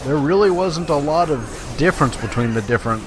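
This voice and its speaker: A man's voice: a little high, expressive, fairly quick, noisy background. A man giving casual, folksy gameplay commentary, talking to his viewers. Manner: folksy, conversational, addresses viewers. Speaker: let's play host. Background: video game sounds.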